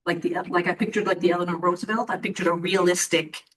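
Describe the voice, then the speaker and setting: A woman's voice: on the low side, fairly even intonation, quick pace. A woman in the audience making a long, rambling comment with many restarts. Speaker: audience member. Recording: roomy hall, distant mic.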